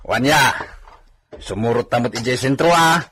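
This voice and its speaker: A man's voice: medium pitch, bright, forceful tone, a little hiss or hum. A man playing a self-pleased village farmhand musing aloud to himself in an Ilocano radio comedy drama.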